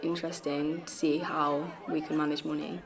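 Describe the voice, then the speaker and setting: A woman's voice: deep voice, little pitch movement, normal speed, creaky, a crowd in the background. A young woman giving casual interview feedback as a workshop participant.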